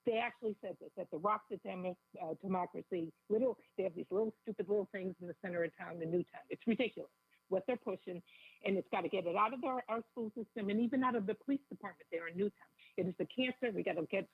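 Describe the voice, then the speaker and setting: A woman calling in to a radio show, raspy from a bad cold, speaking emphatically and indignantly. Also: mid-range voice, quick pace, no room sound. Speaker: phone-in guest. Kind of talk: live radio call-in advocacy. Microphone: telephone. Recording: tinny, narrow phone line.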